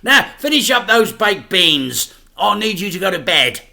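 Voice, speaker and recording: A man's voice: high-pitched, very animated, a little slow, gravelly, bright, forceful tone, pitched above the performer's own voice, full character voice. A man doing a laughing, boisterous dad. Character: excitable working-class dad, middle-aged man.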